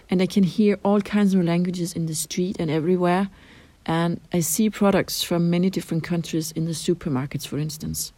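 A middle-aged Danish woman with a Danish accent, answering interview questions in a measured way with frequent ums and restarts. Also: lowish voice, darkish tone, dry recording. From Denmark. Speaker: translator interviewee.

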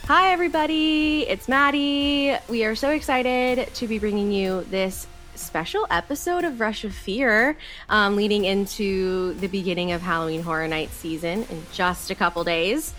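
A young woman hosting a casual podcast, bubbly and quick to laugh. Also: mid-range voice, fairly bright tone, faint music behind. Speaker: podcast host.

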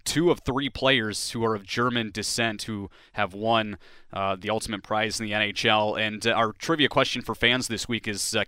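Male podcast host: upbeat, quick talker, crisp, resonant tone, dry studio sound. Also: lowish voice, pushed and bright, dry recording. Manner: upbeat, quick, chatty with frequent restarts. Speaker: podcast host and play-by-play broadcaster. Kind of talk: conversational sports podcast interview.

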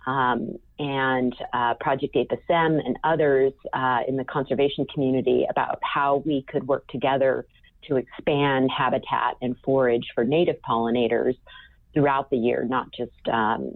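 A woman's voice: rumbling low, fairly even intonation, moderate pace, no echo. A woman from California, a guest scientist, explaining carefully with frequent ums in a podcast interview. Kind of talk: podcast interview over phone line. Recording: tinny phone line.

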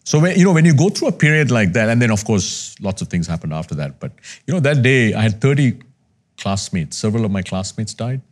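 A man's voice: lowish voice, very animated, fairly quick. A man in his fifties from Sri Lanka, a podcast guest telling his life story in an earnest, reflective way. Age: 50s. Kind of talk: candid conversational storytelling.

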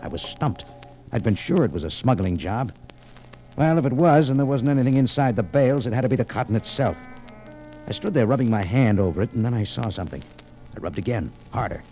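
An actor playing a tough, wry American adventurer in an old-time radio detective drama, speaking in clipped hard-boiled lines. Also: male voice, medium pitch, animated, brisk, mellow, natural voice, low-quality recording. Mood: tense narration. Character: tough, wry, unflappable American adventurer telling his tale. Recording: vintage radio recording, faint music.

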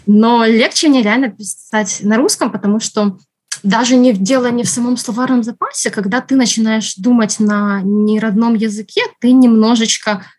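Chatty woman with a husky voice. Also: fairly high pitch, steady tone, moderate pace, darkish tone. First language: Russian. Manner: chatty, laughs often, many restarts and fillers. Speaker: podcast guest, writer and journalist. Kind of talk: casual podcast chat.

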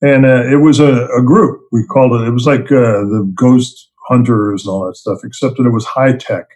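A man's voice: mid-range voice, fairly even intonation, moderate pace, darkish tone. Chuckling middle-aged man telling stories. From Princeton, New Jersey, US. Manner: rambling, chuckling, self-deprecating. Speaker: interview guest, theme park event writer and director. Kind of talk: casual storytelling interview.

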